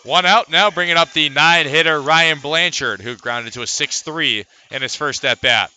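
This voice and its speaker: A man's voice: on the high side, normal speed, sharp tone, clear, resonant tone, low-quality recording. An American man calling a baseball game as a live radio play-by-play broadcaster. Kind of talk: live radio sports commentary.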